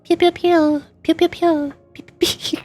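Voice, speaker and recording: A woman's voice: very high-pitched, little pitch movement, slow pace, darkish tone, faint music behind. A woman streamer giving casual, chatty, opinionated gaming commentary in a rambling way. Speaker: VTuber streamer.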